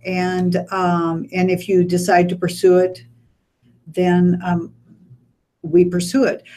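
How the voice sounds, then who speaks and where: A woman with a Midwestern American accent talks in a slightly low voice, laying out details in a steady, explanatory way. She is a speech pathologist sitting in a radio studio as a guest. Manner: measured, informative, explains step by step. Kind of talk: radio interview.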